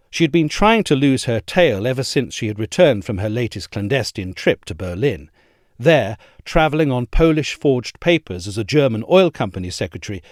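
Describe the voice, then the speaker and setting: A man reading a history essay aloud in a measured, expressive storytelling manner, close to a studio mic. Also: mid-range voice, dry recording. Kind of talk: scripted narration.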